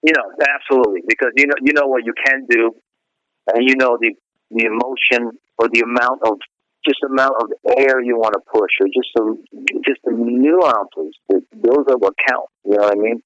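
A man's voice: medium pitch, normal speed, fairly bright tone, no echo. A middle-aged male singer being interviewed, chatting casually and rambling with lots of "you know" fillers and restarts. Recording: dry, dull lo-fi radio sound.

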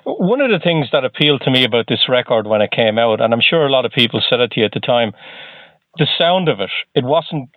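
A man's voice: medium pitch, big pitch swings, quick pace, no echo. A man interviews a musician, asking short, attentive questions. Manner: brief, attentive, short prompts. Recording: dry tinny phone line.

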